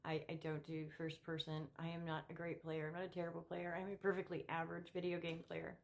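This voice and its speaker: A middle-aged woman with a low voice speaks off the cuff to her viewers. She is opinionated and emphatic, and her delivery halts and repeats words as she builds each point. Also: completely flat delivery, quick pace, creaky. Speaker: political commentary vlogger. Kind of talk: unscripted opinion monologue to camera.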